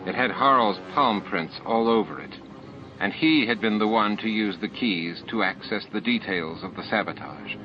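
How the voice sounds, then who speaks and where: A man's voice: lowish voice, normal speed. A man reading a novel aloud as a scripted audiobook narrator. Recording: dull lo-fi audiobook tape. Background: added music and sound effects.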